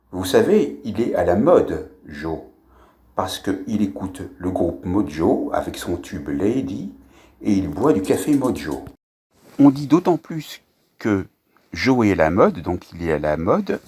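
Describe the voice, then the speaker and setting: Man, very low voice, husky, slow pun jokes. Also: a little mellow. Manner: slow, punning delivery with laughs at his own jokes. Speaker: comedian telling pun jokes. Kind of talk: improvised wordplay monologue.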